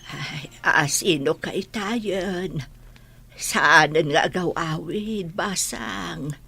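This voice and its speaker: A woman's voice: on the low side, very slow, gravelly, pushed and bright, slightly rough, heavily acted. A woman with an Ilocano accent playing a forgetful, kindly elderly grandmother in a radio drama.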